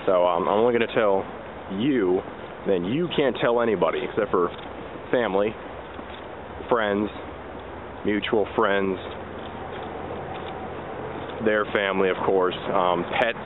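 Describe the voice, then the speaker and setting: Young male vlogger, rambling and self-joking, tinny camera audio with loud outdoor noise. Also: on the low side, unhurried, pauses often. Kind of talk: casual walking vlog. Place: outdoors at a theme park resort, walking.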